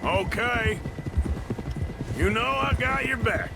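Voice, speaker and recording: An actor playing a weary, loyal American outlaw gunslinger in a western game, plain-spoken and understated. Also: male voice, very high voice, slow pace, exaggerated voice. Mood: loyal, wary. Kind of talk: dramatic western game acting. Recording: music behind the voice.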